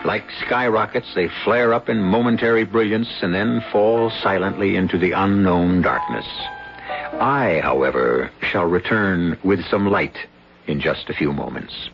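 A man's voice: low voice, measured pace, over music. A man hosting a 1970s radio mystery show with wry, theatrical scripted narration. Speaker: radio drama host. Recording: dull lo-fi 1970s radio broadcast.

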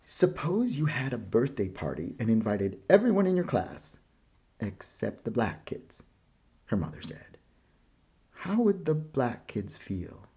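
Man reading aloud, dark husky voice, lively rise and fall, dull lo-fi sound. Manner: slow, gentle reading with lifted pitch for child characters' lines. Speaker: picture book reader. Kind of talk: children's book read-aloud.

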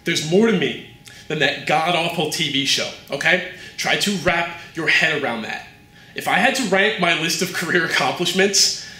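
An actor playing a vain, volatile, washed-up TV cop-show star in a mockumentary comedy, arrogant and dismissive. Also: male voice, a little high, bright, forceful tone, echoey room. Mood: irritated, dismissive.

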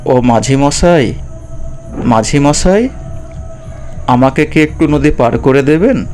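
A man's voice: mid-range voice, slow pace, a little mellow, soft background music, lightly acted. Man voicing an insistent, determined young wanderer. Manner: insistent, refusing. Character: restless, determined eldest son seeking work. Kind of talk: children's cartoon voice acting.

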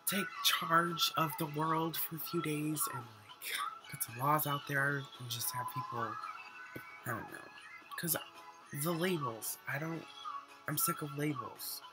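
A man's voice: on the high side, unhurried, over loud music, low-quality recording. A teenage boy rambling earnestly to a webcam in his bedroom, still getting over being sick, with lots of fillers and restarts. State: recovering from illness, voice slightly off. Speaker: vlogger. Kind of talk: casual webcam rant. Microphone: webcam at desk.

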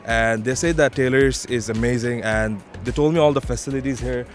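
A man's voice: mid-range voice, a little fast, faint music behind. A young man from Bangladesh answering a street interview outdoors on campus, listing his reasons one by one. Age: young adult. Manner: listing reasons, hesitant starts. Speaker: student interviewee. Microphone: handheld mic held by interviewer.

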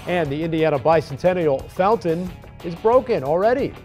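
A man's voice: fairly high pitch, expressive, moderate pace, darkish tone, faint music behind. An American man in his thirties anchoring TV news, reading headline teases. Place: TV newsroom studio. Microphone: lapel mic.

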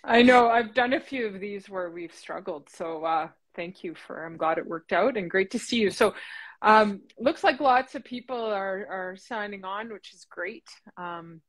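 A woman in her forties hosting an online interview, warm and encouraging, talking over a webcam video call from home. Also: mid-range voice, a little slow. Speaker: interview host, association president. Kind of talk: casual interview chat. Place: home room. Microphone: laptop webcam on video call.

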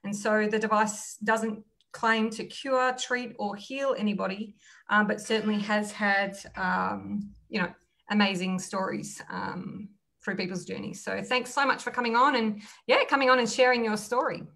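A woman's voice: mid-range voice, steady tone, moderate pace, vocal fry, fairly bright tone. A woman hosting an interview series over a video call, upbeat and encouraging, speaking from her living room. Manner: upbeat, encouraging, many short backchannels. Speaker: interview host. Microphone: video call with earphone mic.

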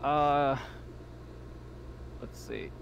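A man's voice: fairly high pitch, flat delivery, extremely slow, lo-fi sound. A man playing a tabletop roleplaying game, moving between casual chat and speaking in character. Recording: clear background noise, online voice call.

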